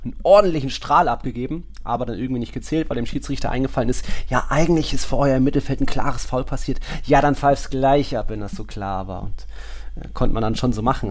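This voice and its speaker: A man's voice: mid-range voice, steady tone, fast talker, darkish tone. A man co-hosting a football podcast in a casual, colloquial way with chuckling asides. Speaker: podcast host.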